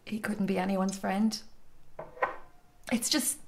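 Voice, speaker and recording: An actress playing a lonely, needy woman in her twenties, pleading and halting, often breathy, in a radio drama. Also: mid-range voice, level tone, noisy background. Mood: confiding, lonely. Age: 20s.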